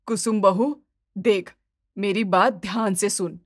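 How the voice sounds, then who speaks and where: A woman voicing a fussy, easily exasperated middle-aged mother-in-law in an animated family story, bossy and dramatic. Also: medium pitch, takes it slowly, no room sound, studio quality. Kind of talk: animated Hindi moral-story dubbing.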